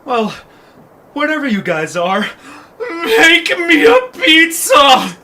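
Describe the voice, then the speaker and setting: A man's voice: extremely high pitch, huge pitch swings, slow, pushed and bright, heavily acted. A man voicing a bossy, hungry, self-important troll in an audio drama. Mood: indignant, demanding. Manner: pompous, demanding. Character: bossy, hungry pizza troll leader, arrogant and picky. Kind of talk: cartoonish audio drama acting. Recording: faint background noise.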